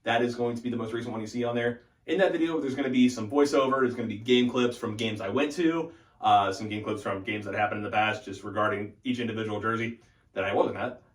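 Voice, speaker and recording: A man's voice: lowish voice, quick pace. A young adult man giving a casual, informal update as the host of a hobby channel, thinking aloud as he goes. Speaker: host of a hockey fan channel. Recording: echoey room, distant from the mic.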